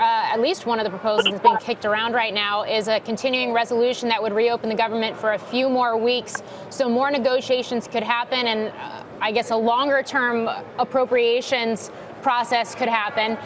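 Higher-voiced woman anchor over background noise. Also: steady tone, normal speed. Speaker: television news anchor. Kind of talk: live news interview questioning.

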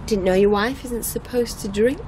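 A woman's voice: moderate pace, natural voice. An actress playing a provocative wife who needles her husband in an insistent, teasing way, in a naturalistic radio drama. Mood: pointed. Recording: noisy car interior, vehicle rumble. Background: moving vehicle noise.

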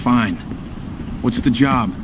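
An actor playing a terse, wary young American fighter in a video game, laconic and questioning. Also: male voice, mid-range voice, takes it slowly, close to an everyday voice. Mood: irritated. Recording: game dialogue under loud vehicle noise.

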